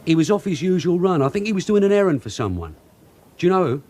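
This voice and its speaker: A man playing a brisk, authoritative British police detective sergeant in a radio drama, speaking in clipped orders. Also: sits high, very animated, a little mellow. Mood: commanding. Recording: noisy background with vehicle sounds.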